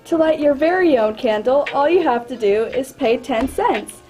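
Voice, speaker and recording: An old-time radio sponsor announcer, voiced by a woman, reading an inviting scripted advert. The voice is high yet soft-toned, with faint music behind it. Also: expressive, normal speed.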